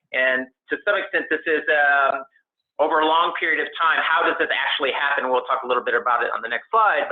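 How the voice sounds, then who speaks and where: A man giving a technical investment presentation on a conference call, speaking in a halting, hedging way as an analyst. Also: mid-range voice. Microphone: conference call line. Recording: tinny phone-line conference call audio.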